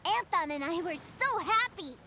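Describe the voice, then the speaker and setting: Casting: eager, guileless young boy for a game, boyish and high, animated delivery. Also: moderate pace, very noisy. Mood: proud, earnest. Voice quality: childlike, boyish. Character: innocent, eager little boy who adores toys. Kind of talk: video game voice acting.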